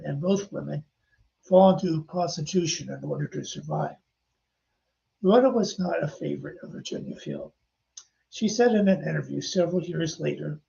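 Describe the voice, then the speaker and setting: Gravelly older man telling anecdotes. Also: a little high, mellow, dull audio. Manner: anecdotal, chatty, addresses listeners directly. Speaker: podcast host and author. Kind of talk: casual storytelling narration.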